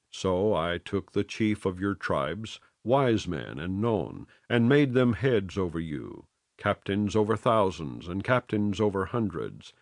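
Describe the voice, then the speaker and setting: A man reading scripture aloud in a measured, solemn way, phrase by phrase. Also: deep voice, gravelly, no room sound, studio quality. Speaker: Bible reader.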